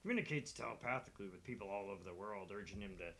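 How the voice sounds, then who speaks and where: A low, creaky-voiced monster hunter, acted by a man in a visual novel game. He is laddish and roguish, spinning his tales with theatrical relish. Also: expressive, racing, sharp tone, slight background noise. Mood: theatrical, amused. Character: cheeky, laddish British monster hunter tour guide.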